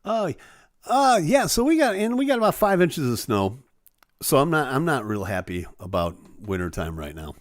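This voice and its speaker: A man's voice: mid-range voice, sing-song, normal speed, dry recording, clean studio sound. A man hosting a live stream, chatting casually with viewers in a self-deprecating way while losing his voice. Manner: chatty and self-deprecating, many fillers and asides. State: losing his voice, throat-clearing.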